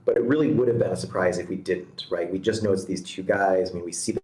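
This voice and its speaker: A man giving casual, constructive feedback on a screenplay, halting as he thinks aloud. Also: sits low, darkish tone, reverberant, rough recording. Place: online video meeting.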